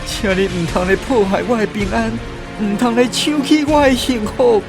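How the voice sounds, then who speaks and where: A man's voice: high-pitched, lively intonation, moderate pace, gravelly, over music. A man with a Taiwanese accent playing a fearful, lost soul in a radio drama, pleading and lamenting theatrically. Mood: terrified. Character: anguished lost man, fearful and searching for peace.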